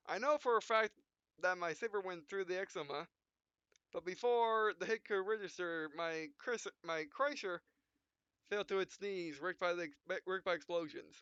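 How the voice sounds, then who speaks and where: A man's voice: high-pitched, sharp tone, clean tone, no room sound, lo-fi sound. A man playing a visual novel, reading its text and punctuation aloud in a mock-dramatic way between casual remarks. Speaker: let's play gamer.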